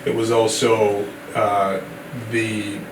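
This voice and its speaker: Low-voiced man answering in a roomy space. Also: little pitch movement, slow. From Sierra Leone. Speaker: guest, company CEO. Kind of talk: casual podcast interview. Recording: roomy, distant mic with background noise.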